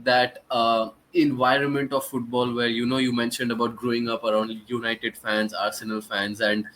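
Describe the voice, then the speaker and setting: This man has an Indian accent and a bright, forceful tone. His pitch stays fairly even as he talks. He sounds like a host in a casual interview, with faint noise behind him. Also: moderate pace, rough recording. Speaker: podcast host.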